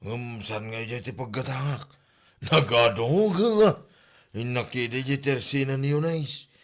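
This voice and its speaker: A man's voice: medium pitch, measured pace, natural voice. An actor playing a flirty, evasive married man in an Ilocano comedy drama, with an exclamatory, stammering manner and an Ilocano accent. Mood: grumbling, evasive.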